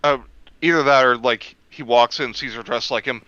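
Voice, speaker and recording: A man's voice: mid-range voice, moderate pace, sharp tone, smooth, no echo, highs cut off. A man chatting casually as a co-host and relaying messages from listeners. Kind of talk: casual chat.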